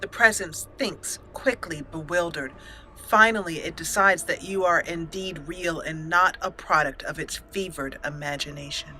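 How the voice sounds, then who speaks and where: A woman's voice: lowish voice, normal speed, pushed and bright, slight background noise, low-quality recording. A woman hosting a let's play, switching between storytelling narration and commentary on her roleplay game.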